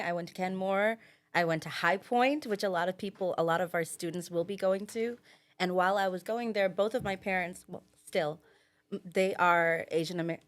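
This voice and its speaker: A young adult woman, a former student and podcast guest, telling stories in an upbeat, warm way. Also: on the low side. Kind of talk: casual podcast chat.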